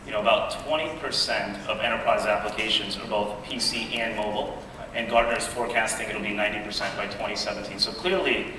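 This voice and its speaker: Man, low pitch, measured explanatory panel talk, heavy echo, clear room noise. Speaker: software executive, panelist. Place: conference panel stage in a large hall. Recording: echoey hall, distant mic, loud room noise.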